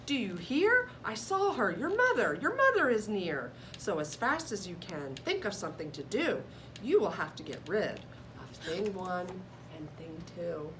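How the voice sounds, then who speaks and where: An anxious, bossy fish from a children's storybook, acted by a woman reading aloud. It speaks insistently in a raised, lively pitch. The recording is distant and quite noisy. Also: measured pace. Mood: urgent. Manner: urgent, insistent. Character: worried, urgent, bossy pet fish.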